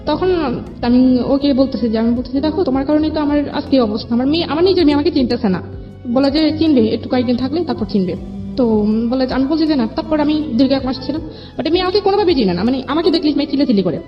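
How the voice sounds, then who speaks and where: Fast-talking young woman, higher-pitched. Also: expressive. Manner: rambling, repeats herself, quotes conversations. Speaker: guest telling own life story. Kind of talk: personal storytelling in an interview. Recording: dull lo-fi audio with music behind.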